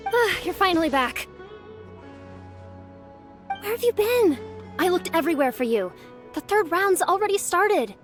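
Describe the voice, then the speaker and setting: A woman's voice: very high-pitched, big pitch swings, a little slow, pauses often, music in the background, exaggerated voice. A woman playing an earnest young competition organizer in a video game, explaining things in an urgent, serious way.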